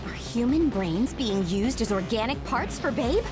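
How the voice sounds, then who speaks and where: An actress playing a scornful, sharp-tongued woman teammate in a video game cutscene. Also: on the high side, expressive, measured pace, music in the background.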